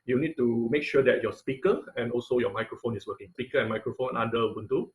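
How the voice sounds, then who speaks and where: A man teaching an online class in an informal lecture style, with halting, hesitant phrasing and repeated words, speaking over a video call. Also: mid-range voice, fairly even intonation. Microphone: computer mic on a video call.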